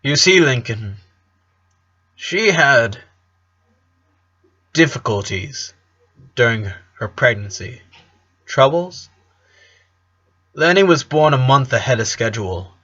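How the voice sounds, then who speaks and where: Man reading as bright-voiced, fond storytelling dad. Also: mid-range voice, animated, takes it slowly, pauses often, slightly rough, muffled recording, exaggerated voice. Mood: tender, nostalgic. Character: warm, nostalgic, joking middle-aged father telling family stories. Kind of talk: cartoon fan fiction reading.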